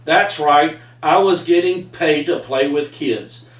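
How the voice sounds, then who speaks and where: A middle-aged Texan man reads his own story aloud with a folksy, homespun delivery. His voice sits a bit high for a man. He stands back from the camera, so the sound comes from afar, with a roomy echo. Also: takes it slowly, a bit bright, recorded from a distance. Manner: folksy storyteller, says y'all. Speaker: author reading his book aloud. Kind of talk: reading a personal story aloud. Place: home room with framed pictures, indoors. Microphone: far camera. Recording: echoey room, voice from afar.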